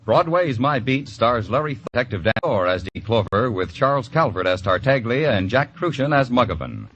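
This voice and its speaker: A man's voice: medium pitch, sing-song, normal speed, a little hiss or hum. A man with an American accent announcing in a polished, dramatic, scripted vintage radio style. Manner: polished, dramatic promotional delivery. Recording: dull old radio recording under loud music.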